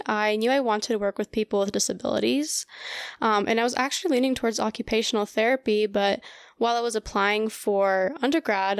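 A woman's voice: fairly high pitch, dry recording, clean studio sound. An American woman in her early twenties chatting informally as an interview guest, often dropping in "like". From Colorado, United States. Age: early 20s. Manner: informal, peppered with "like". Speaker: podcast guest, graduate student. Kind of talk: casual interview chat.

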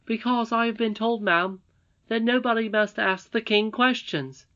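A woman's voice: a little high, measured pace, fairly bright tone, voice pushed up. A woman voicing an earnest, wondering young boy miner while reading a storybook aloud. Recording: dull lo-fi recording.

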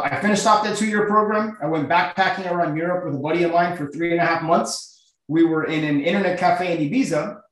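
A middle-aged man from Miami speaking as a podcast guest, telling his story in an earnest, informal, casual way in a very roomy recording. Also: fairly high pitch. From Miami, United States. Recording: very echoey, roomy sound.

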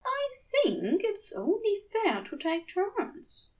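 A gentle, earnest male angel from a storybook, acted out by a woman, speaks high and a little away from the mic. The phrasing is prim, fussy and hesitant, lilting up and down with shy hope. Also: huge pitch swings, slow pace, recorded from a distance, heavily acted. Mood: shy, fond. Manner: prim, old-fashioned, hesitant wording.

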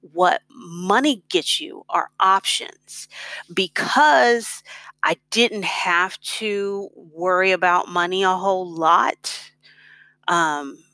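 A middle-aged woman with an American accent talks in a slightly low voice at a very unhurried pace. She sounds close and dry, with no room around her. Her delivery is casual, reflective personal storytelling. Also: sharp tone, no room sound. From United States. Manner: reflective, conversational. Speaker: blogger on expat life and personal finance.

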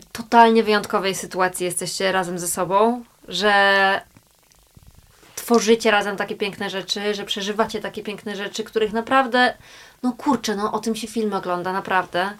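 A woman hosting an interview, speaking effusively and emphatically as she praises her guests. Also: a little high.

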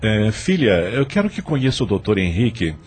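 A man's voice: fairly low pitch, very animated, moderate pace, slight background noise. An actor playing a genial, easygoing middle-aged father, a wealthy farmer, in a radio soap.

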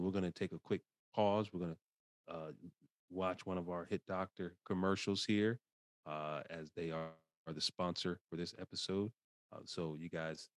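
A man's voice: deep voice, no room sound. A man hosting an interview podcast in a casual, conversational style. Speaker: podcast host.

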